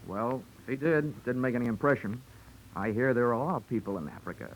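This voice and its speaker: Mid-pitched man playing a hardboiled investigator: dry, sarcastic, unshakeable, on a noisy vintage radio drama recording. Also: fairly quick, close to an everyday voice. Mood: deadpan. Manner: dry, deadpan wisecracking. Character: wry, unflappable male insurance investigator, tough and sarcastic. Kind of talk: 1940s radio drama acting.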